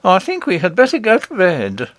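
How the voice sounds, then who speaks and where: Man as a pompous, high-voiced goblin king. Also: wildly swooping pitch, moderate pace, a bit bright, no room sound, heavily acted. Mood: dignified. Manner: dignified, coaxing. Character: pompous, henpecked goblin king trying for dignity.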